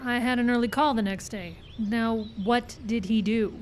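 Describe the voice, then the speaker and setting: Lady doing fed up sceptical no-nonsense gal in a radio comedy, highish voice, bossy questions, outdoor noise n birds. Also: steady tone, normal speed, lightly acted. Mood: probing, impatient. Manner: pointed, commanding, interrogating delivery. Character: exasperated, sceptical, no-nonsense woman on film team. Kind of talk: live old-time radio style comedy acting. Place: outdoor park stage. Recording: outdoor live show with background noise. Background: birds and outdoor ambience.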